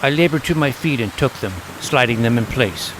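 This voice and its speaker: A man's voice: medium pitch, lively intonation, fairly quick, noisy background, natural voice. An actor narrating an audio drama in the first person as a plucky, self-assured boy detective recounting his adventures. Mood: relieved, wry. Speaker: narrator and lead character. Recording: loud dramatic music under narration.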